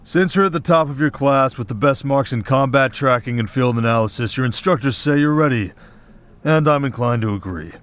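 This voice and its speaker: A man's voice: mid-range voice, brisk, a bit bright, voice pushed up, natural voice. A man voicing a stern commander of monster hunters, clipped and authoritative, briefing a recruit in a scripted audio roleplay. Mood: stern, businesslike. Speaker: voice actor.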